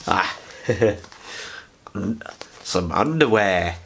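A man's voice: deep voice, animated, very slow, slight background noise. A young man, sounding nasal from a cold, chatting casually and rambling through an unboxing in his living room at night, close to a camera. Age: young adult. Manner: chatty, rambling, self-mocking asides. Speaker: vlogger. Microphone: camera on a tripod, sitting close.

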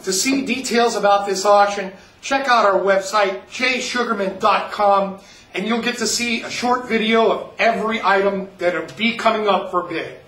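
A man with a rather high voice does a folksy sales pitch for an upcoming auction, calling his listeners folks as he goes. He sits somewhat off the mic. The room around him rings and sounds roomy. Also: normal speed, fairly bright tone, distant mic.

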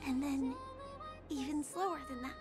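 Actress for delicate young woman in an anime game, fatally ill yet earnest, very high soft voice, clear music bed. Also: fairly even intonation, normal speed, natural voice. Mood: somber, hushed. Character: gentle, wistful young woman with a fatal illness. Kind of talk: anime-style video game voice acting. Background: game music behind the voice.

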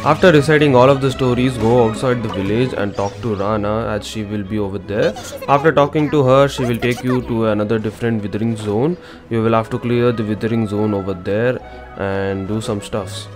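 Low-voiced man giving a game walkthrough. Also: fairly quick. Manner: instructional, informal. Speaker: gaming channel host. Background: game music.

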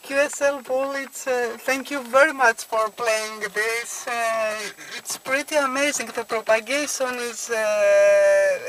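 Greek accent woman doing a ham radio contact, kinda halting, noisy car recording. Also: fairly high pitch, steady tone, fairly bright tone. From Greece. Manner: halting, searching for words. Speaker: amateur radio operator. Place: inside a car at night.

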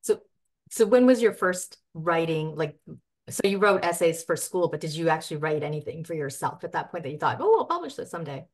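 Middle-aged librarian moderating panel. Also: female voice, sits low, very animated, a little fast. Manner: warm encouraging questions with soft "mm-hmm"s. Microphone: video call.

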